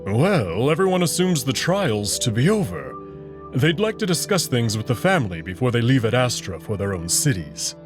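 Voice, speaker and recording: A man voicing a large, gentle alien wolf who is soon to be emperor, unhurried and reassuring, in a visual novel. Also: medium pitch, very animated. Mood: calm, measured. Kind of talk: visual novel character dialogue. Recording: music behind the voice.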